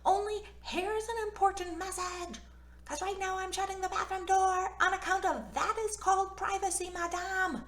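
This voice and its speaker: A woman's voice: very high-pitched, fairly even intonation, moderate pace, a bit bright, reverberant, pitched above the performer's own voice. A woman voicing a brash, dramatic little girl in a storybook, emphatic, childlike and bossy. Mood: bossy, loud.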